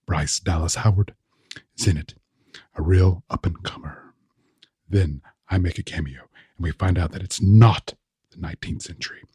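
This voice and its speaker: A man playing a muddled filmmaker in a comic radio sketch, pitching ideas haltingly in a gravelly, husky voice. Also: mellow, no room sound. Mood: dramatic pitching. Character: male filmmaker obsessed with plot twists, muddled, brainstorming aloud. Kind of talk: comic audio sketch acting.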